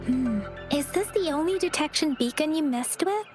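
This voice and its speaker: A woman's voice: sits high, expressive, moderate pace. An actress voicing a stern, suspicious woman soldier in a game, clipped and cool. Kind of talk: video game voice acting. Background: music.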